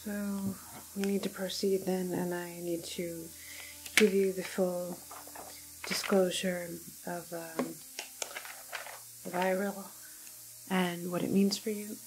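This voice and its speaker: Female ASMR doctor roleplay: hushed and whispery, very slow and halting, soft dark tone, calm clinical manner. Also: lowish voice, faint music behind, low-quality recording. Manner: hesitant, halting, gentle clinical explaining. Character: calm, apologetic female doctor in a quarantine facility. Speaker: ASMR performer playing a doctor.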